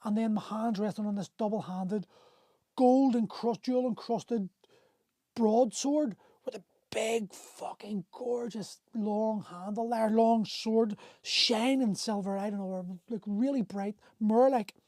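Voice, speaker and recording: A man's voice: sits high, measured pace, darkish tone, dry recording. A middle-aged man hosting a livestream, telling a personal story candidly and emotionally, swearing freely and often stopping to restart his sentences. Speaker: host. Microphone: phone on a livestream.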